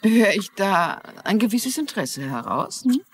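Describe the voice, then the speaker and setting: A dubbing actress playing a kindly, curious older woman novelist in a TV crime drama, gently teasing. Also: medium pitch, sing-song, measured pace. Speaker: dubbing actor. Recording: faint background noise.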